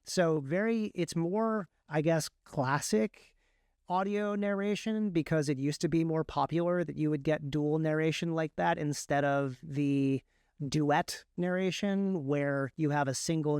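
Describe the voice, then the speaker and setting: A man answering interview questions conversationally as a guest, explaining things in a halting, self-correcting way. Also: on the high side, a little mellow, smooth, no echo, studio quality. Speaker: podcast guest, author and audiobook narrator.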